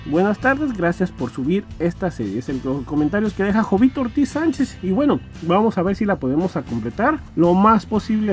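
Male podcast host, high-pitched, casual, reading aloud, faint background music. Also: darkish tone.

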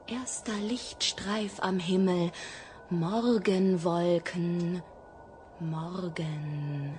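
An actress playing a dreamy, poetic voice in a German radio drama, reciting in fragmentary, lingering phrases. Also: female voice, fairly low pitch, steady tone, very slow, a little mellow, slight background noise. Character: dreamy, poetic female voice describing a city. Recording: faint music behind the voice.

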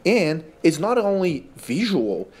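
A man's voice: high-pitched, big pitch swings, slow pace, darkish tone, breathy edge. A man from Brazil with a Brazilian Portuguese accent, speaking English as a second language in casual gameplay commentary, incredulous and laughing at game glitches. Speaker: gaming YouTuber.

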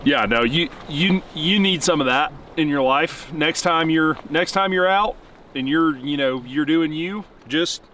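A man's voice: on the high side, a little slow, pushed and bright. A man in his thirties hosting a vlog, chatting casually and informally to the camera. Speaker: vlog host. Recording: distant mic, clear background noise.

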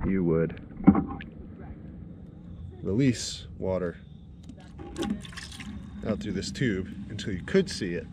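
A man in his thirties in a kayak on a lake, casually explaining a water test with fillers and thinking aloud. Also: on the low side. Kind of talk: casual outdoor demonstration. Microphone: action camera at arm's length. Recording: noisy outdoor camera audio.

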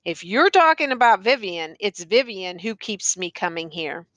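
A woman narrator acts out a male schoolteacher in an audiobook novel. He is earnest, insistent and exasperated, speaking in educated phrases. The voice is bright and forward, recorded very dry. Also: medium pitch, big pitch swings, moderate pace, no room sound. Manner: pleading, insistent, educated diction.

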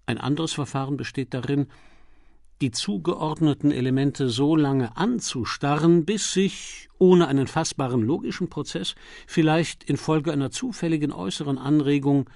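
A man reading a crime novel as an audiobook narrator, with a dramatic, deliberate delivery and emphatic pauses. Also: a little high, vocal fry, darkish tone, no room sound, studio quality.

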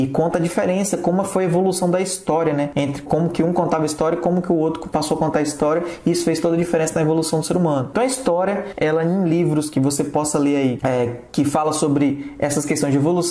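A man's voice: a little high, darkish tone, roomy sound. A man with a Brazilian Portuguese accent teaching an online lesson in a chatty way, full of fillers and restarts. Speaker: online tutor. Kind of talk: casual screen-recorded lesson.

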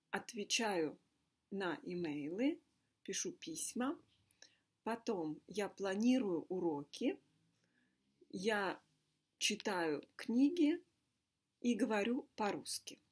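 A woman teaching a language lesson in deliberate, simple, carefully repeated phrases. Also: mid-range voice, very animated, husky. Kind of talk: slow scripted language lesson monologue. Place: indoor room with a fireplace.

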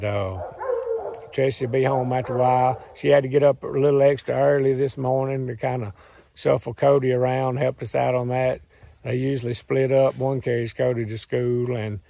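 A hoarse man in his thirties with a Southern US accent, rambling cheerfully in a folksy way to a phone camera while standing outdoors in the woods. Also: medium pitch, warm tone. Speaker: farm and hunting channel host. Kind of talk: casual vlog talk to camera. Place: outdoors in woods beside a truck. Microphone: phone camera at arm's length.

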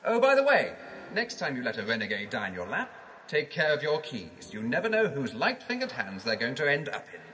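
A man's voice: mid-range voice, wildly swooping pitch, brisk, background noise. A man acting a mocking, menacing villain in an audio drama, with a sneering, taunting manner. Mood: gloating. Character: gloating male villain, menacing, mocking renegade.

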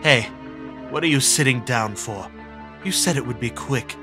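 A man's voice: medium pitch, expressive, normal speed, over music, natural voice. A man voicing an arrogant, commanding teenage schoolboy in a comic dub, curt and cold. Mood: impatient, scornful. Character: arrogant, commanding high-ranking teenage schoolboy obsessed with hierarchy. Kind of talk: dramatic comic dub acting.

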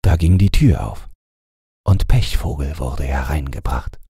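Very deep, husky male storyteller. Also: measured pace, warm tone, no room sound, clean studio sound. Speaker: audiobook narrator. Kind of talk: scripted bedtime fairy-tale narration.

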